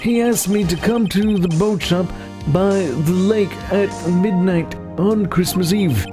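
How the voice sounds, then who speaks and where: A man's voice: sits high, slow. A man voicing a proud, reserved young prosecutor in a courtroom video game, speaking in a formal, clipped, guarded way. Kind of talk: live voiced playthrough of a courtroom video game. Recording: game music under the voice.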